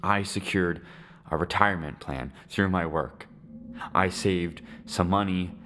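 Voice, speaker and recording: Slow, very low man acting a grieving elderly widower. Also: fairly bright tone. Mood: bitter, weary. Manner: slow, halting, reflective delivery with weighty pauses. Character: lonely, grieving Syrian widower in his seventies, resigned father. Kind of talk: dramatic monologue for a podcast.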